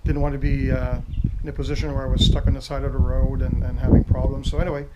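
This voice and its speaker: A man's voice: medium pitch, little pitch movement, fast, lo-fi sound, very noisy. A man in his fifties from Quebec with a strong French Canadian accent, French his first language, rambling casually through a home video with many fillers and restarts. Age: 50s. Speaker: retired military motorcycle owner.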